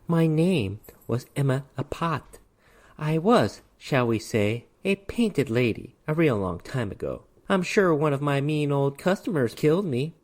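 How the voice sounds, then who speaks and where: A flirtatious female phantom, played by a male story reader, coy and pouting. She feigns innocence in seductive tones, her pitch swooping about as in a dramatic storybook reading. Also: sing-song, a little slow, a little mellow.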